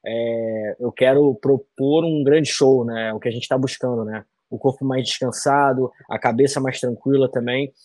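A man's voice: mid-range voice, fairly even intonation, moderate pace, few pauses, a little mellow, no room sound. A man in his thirties answering interview questions over a video call from a small room, talking loosely with many fillers and tag questions. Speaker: fighter interviewee.

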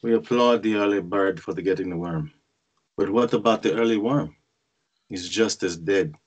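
A man playing a brooding, bitter character who narrates his dark thoughts in a husky voice, in a script read. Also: lowish voice, normal speed, lo-fi sound, natural voice. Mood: grim, reflective. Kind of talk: dramatic voice-over monologue in a script read. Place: indoors on a group video call.

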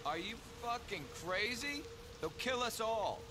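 An incredulous, anxious video game addict. He protests in a high-pitched whine, with clearly audible street ambience. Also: animated, measured pace, noisy background. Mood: anxious, protesting. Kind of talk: video game cutscene acting.

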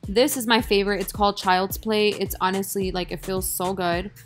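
A woman's voice: medium pitch, steady tone, moderate pace. A young woman narrating her workout video in a casual, self-deprecating, upbeat voice-over. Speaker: fitness vlogger. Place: voice-over, not recorded in the gym. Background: music.

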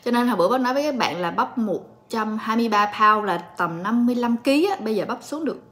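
A woman in her late twenties chatting calmly and directly to viewers in a casual home vlog. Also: a little high, fast talker. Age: late 20s. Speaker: vlogger and story reader. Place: living room at home.

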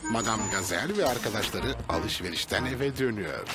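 A man narrating a children's cartoon in a clear, expressive storytelling manner. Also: fairly low pitch, fairly quick. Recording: music behind.

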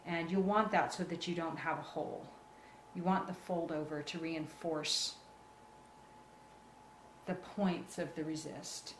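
Woman, lowish voice, reassuring craft instructor, casual live delivery in a reverberant room. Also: fairly even intonation, measured pace, pauses often. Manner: reassuring, encouraging. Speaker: craft tutorial host. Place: indoor work table. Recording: roomy echo, clear background noise.

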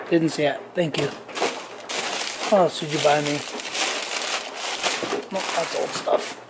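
A man's voice: fairly high pitch, a little hiss or hum, low-quality recording. A tired man in his forties chatting casually to camera in his home garage, rambling with lots of ums and self-corrections. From Southern California. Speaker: garage vlogger. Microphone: on-camera mic, camera at arm's length and on bench.

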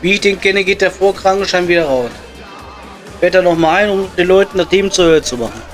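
Coal miner at the pub, played by a man, high voice, Ruhr German, foul-mouthed and grumbling, improvised comedy over light music. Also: fast, close to an everyday voice. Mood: scornful. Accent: Ruhr area German. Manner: grumbling, coarse, colloquial. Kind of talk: improvised comedy audio play. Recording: music behind the voice.

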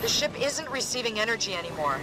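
A woman's voice: a little high, sing-song, quick pace, very noisy. An actress playing a level-headed female soldier tech in a sci-fi game, casual and dry. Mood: focused. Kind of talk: video game military acting.

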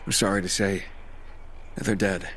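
An actor playing a grim, weary young survivor in a video game, blunt and understated. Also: male voice, sits low, flat delivery, a little slow, a bit bright, slight background noise. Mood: somber.

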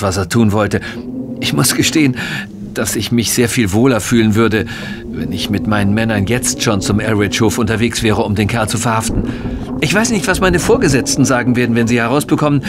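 A man's voice: medium pitch, background noise, close to an everyday voice. A male actor playing a dutiful, slightly uneasy police inspector, earnest, formal and deferential, in a German audio drama.

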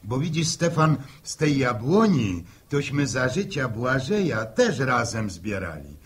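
A long-winded, middle-aged farmer in a vintage radio serial. He is husky and halting, repeats himself, and his pitch moves up and down as he insists on telling it his way. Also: big pitch swings. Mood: earnest explaining. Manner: halting, rambling, repetitive. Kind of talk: 1960 radio drama acting. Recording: old 1960 radio recording.

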